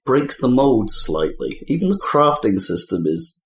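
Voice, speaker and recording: A man's voice: mid-range voice, very animated, a little slow. A man co-hosting a podcast, chatting casually about games in an informal, sweary way. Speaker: podcast co-host. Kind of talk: casual gaming chat. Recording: echoey room, faint background noise.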